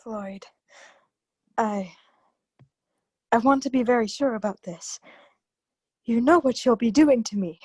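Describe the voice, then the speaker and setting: A desperate, well-to-do wife acted by a woman in a radio thriller. Her voice sits high, and she pleads with guarded defiance as someone backed into a corner. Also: takes it slowly, long pauses, a little mellow, breathy edge. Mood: desperate, defiant. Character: cornered, desperate young wealthy wife hiding a past. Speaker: actor. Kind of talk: old-time radio crime drama acting.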